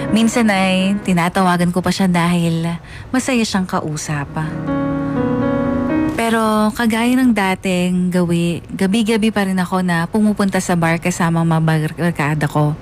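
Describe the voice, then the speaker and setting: A woman's voice: fairly low pitch, measured pace. A woman with a Filipino accent reading a scripted first-person narration for a radio drama. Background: faint music.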